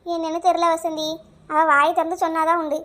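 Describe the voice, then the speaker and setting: A woman's voice: very high-pitched, little pitch movement, brisk, fairly bright tone. A woman playing a concerned village neighbour in a comic cartoon, explaining plainly with a southern Tamil Nadu accent.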